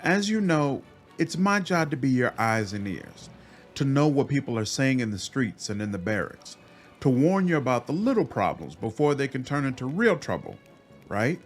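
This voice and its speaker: Man reading as a blunt, scolding spy, animated. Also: medium pitch, normal speed, soft background music. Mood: urgent warning. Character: blunt, troubled female spy warning her commander. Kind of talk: video game dialogue read aloud.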